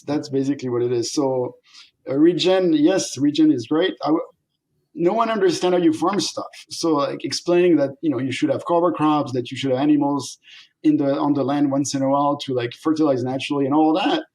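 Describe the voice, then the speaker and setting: Middle-aged French-accented man, animated guest, slightly high voice. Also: a little fast, poor audio. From France. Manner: animated, laughs often, acts out quoted voices. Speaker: guest, company founder. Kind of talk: casual interview conversation.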